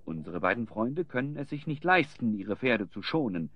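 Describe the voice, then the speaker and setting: A man's voice: mid-range voice, sing-song, normal speed, lo-fi sound, a little hiss or hum, lightly acted. A man narrating an adventure audio play as a vivid, scripted storyteller. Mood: suspenseful.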